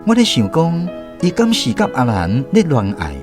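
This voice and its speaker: A man's voice: on the high side, wildly swooping pitch, moderate pace, music in the background. An actor with a Taiwanese accent playing a man who narrates memories of his boyhood apprenticeship in a scripted radio drama. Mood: puzzled, reflective. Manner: reflective storytelling, explains as he goes.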